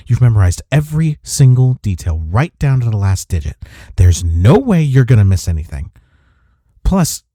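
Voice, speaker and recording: A man acting in an intimate audio roleplay as a gruff but caring office rival, speaking in a husky, soft, reassuring way. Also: medium pitch, no room sound, clean studio sound. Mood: insistent reassurance.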